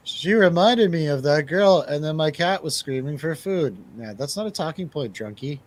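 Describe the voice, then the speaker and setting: A man's voice: fairly high pitch, lively intonation, a little slow. A man hosting a casual movie review radio show, bantering in a playful, jokey manner.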